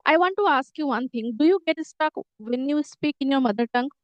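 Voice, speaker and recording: A woman's voice: high voice, lively intonation, brisk, dry recording, muffled recording. A middle-aged woman, explaining earnestly as a teacher and repeating herself to make her points. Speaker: English teacher. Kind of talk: conversational English lesson dialogue.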